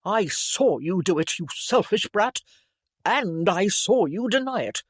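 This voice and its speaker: A narrator voicing an earnest, apologetic young gentleman with a formal, emphatic way of speaking, in an audiobook story. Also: medium pitch, lively intonation, moderate pace, no room sound, close to an everyday voice. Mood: indignant accusing.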